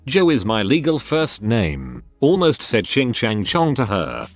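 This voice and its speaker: A man narrating a string of short first-person stories in a brisk, steady scripted read. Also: mid-range voice, dry recording, faint music behind.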